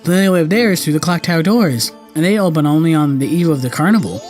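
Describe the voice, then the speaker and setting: Man reading as an emphatic, high-pitched game townsperson. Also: fast talker, darkish tone, close to an everyday voice. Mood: curious, emphatic. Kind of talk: reading game dialogue aloud in character. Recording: game music behind the voice.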